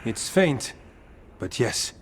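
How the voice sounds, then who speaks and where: A gentle, slow-spoken game warden. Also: male voice, slight background noise. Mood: uneasy, brooding. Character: gentle, earnest male warden, uneasily attuned to eerie evil. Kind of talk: dramatic video game acting.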